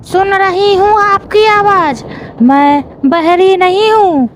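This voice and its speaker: A woman voicing a sharp-tongued, greedy crow wife in a cartoon, grumbling and sarcastic. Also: very high-pitched, slow, a little hiss or hum. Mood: irritated. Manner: sarcastic, nagging.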